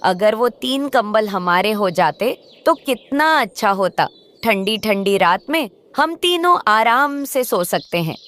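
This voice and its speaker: A woman's voice: medium pitch, animated, a little slow, a bit bright. A woman voicing a discontented, covetous crow wife in a moral cartoon, with a complaining, coaxing manner. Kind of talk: Hindi moral cartoon voice acting.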